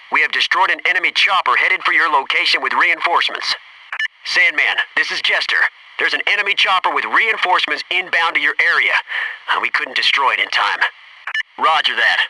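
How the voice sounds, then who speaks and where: An actor playing a gung-ho, wisecracking Navy SEAL soldier in a military video game, giving clipped tactical callouts. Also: male voice, fairly high pitch, natural voice. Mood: wary, low. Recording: noisy radio-style game audio, slightly distant.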